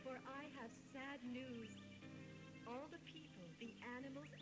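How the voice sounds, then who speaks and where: Woman playing a high-voiced, sorrowful earth goddess. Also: rough recording. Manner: solemn, formal, imploring delivery. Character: sorrowful earth goddess in cow form, pleading. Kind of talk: devotional audio drama acting.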